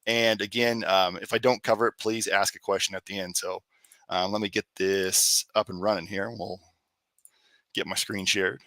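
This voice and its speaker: A man presenting on student billing at an online session, a little hoarse from a cold, explaining in a relaxed way with many fillers. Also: deep voice, moderate pace, dry recording. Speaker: accounts receivable staff presenter. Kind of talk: webinar presentation.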